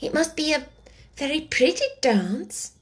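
A woman's voice: very high-pitched, sing-song, slow pace, a little mellow, pitched above the performer's own voice, heavily acted. A woman voicing a polite, curious little girl in a children's storybook, speaking with timid care. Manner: polite, hesitant, careful. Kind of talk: children's storybook character reading.

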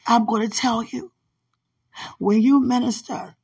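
A woman minister speaking a prophetic word to a singer, drowsy and drifting between firm exhortation and low whispers. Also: fairly even intonation, measured pace, gravelly, a little mellow, slightly rough. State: drowsy, dozing off.

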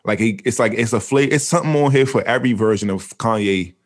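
Low-voiced animated podcast co-host man. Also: fast talker. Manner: animated, rambling, laughs often. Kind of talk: casual podcast chat.

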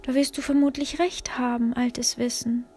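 Woman doing a high, joyful little girl. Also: level tone, moderate pace, faint music behind, close to an everyday voice. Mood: happy. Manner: high, bright and exclaiming.

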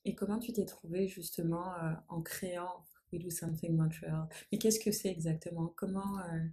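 A woman hosting an interview podcast, reading a scripted intro and then gently asking questions with some hesitation. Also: on the low side, level tone, moderate pace, breathy edge. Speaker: podcast host.

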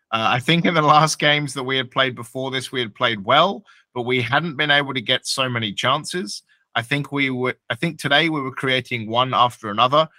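Bright, excitable man co-hosting. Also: mid-range voice, no room sound. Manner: excitable, laughs often. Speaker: podcast co-host. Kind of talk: casual sports chat.

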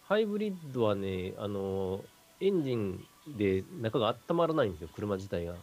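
Mellow, quick man explaining. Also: lowish voice, big pitch swings. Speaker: radio show panelist. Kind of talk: casual explanatory chat.

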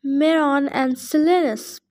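A blustering old satyr , put on by a woman reading the story aloud, talks in a high, fussy voice. He is pompous and jittery and stammers when scared, in a storybook reading. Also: lively intonation, unhurried. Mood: flustered.

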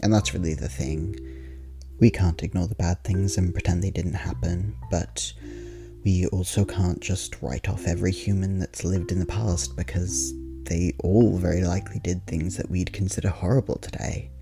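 A man's voice: sits low, steady tone, moderate pace, music in the background. A man playing a friendly, rambling diarist in an ASMR roleplay, talking casually with wry, hesitant asides. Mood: earnest, wry.

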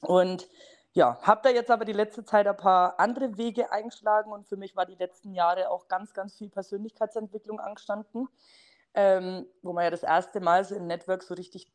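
A woman's voice: medium pitch, fairly even intonation, normal speed, darkish tone. A woman in her thirties from near Bamberg with a Franconian accent, chatting enthusiastically as a podcast guest, with lots of fillers. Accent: Franconian German. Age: 30s. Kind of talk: casual interview chat.

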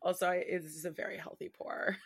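A woman's voice: fairly low pitch, fairly quick, creaky, a crowd in the background. Woman in her 30s cohosting a chill podcast at home, chatty, laughs a lot. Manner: laughs often, chatty and expressive. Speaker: podcast co-host. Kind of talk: casual chat.

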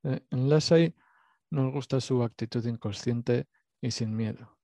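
A man with a Basque Spanish accent hosting a casual interview podcast, self-deprecating and rambling with pauses. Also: mid-range voice, creaky, mellow, dry recording, studio quality. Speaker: podcast host.

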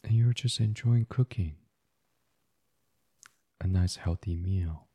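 Deep, husky, very slow male meditation guide. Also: no echo, clean studio sound. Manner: gentle, soothing, unhurried, breathy. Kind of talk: soft guided sleep meditation, ASMR reading.

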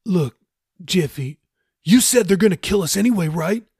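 A man performs a young sailor with a tough past and firm convictions, in an audiobook story. The voice is husky and somewhat high, with a dry, close sound. He reasons earnestly in casual slang. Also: expressive, normal speed, a little mellow, no echo, heavily acted. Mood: uneasy, insistent. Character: steadfast, reformed tough young male sailor.

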